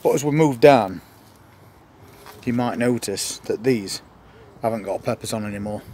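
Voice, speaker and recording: A man's voice: on the low side, normal speed, warm tone, slight background noise. A man in his sixties with an English regional accent gives casual, plain-spoken gardening commentary in an understated way. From United Kingdom. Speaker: gardening vlogger. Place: outdoors in an allotment garden and inside a greenhouse.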